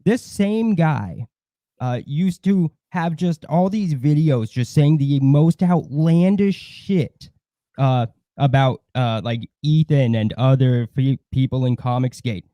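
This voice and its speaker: Blunt, mellow-voiced man swearing through a rant. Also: mid-range voice, takes it slowly, no room sound. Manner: blunt, heavy swearing, disdainful. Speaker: co-host of a livestream. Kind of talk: casual opinionated livestream chat.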